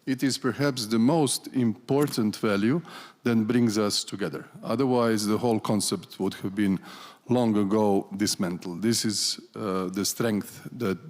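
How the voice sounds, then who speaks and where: A man speaking as a conference panelist, talking deliberately and often restarting his phrases. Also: lowish voice, darkish tone. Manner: deliberate, with frequent restarts and fillers. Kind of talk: panel discussion remarks. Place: large conference hall. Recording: long reverb of a large hall.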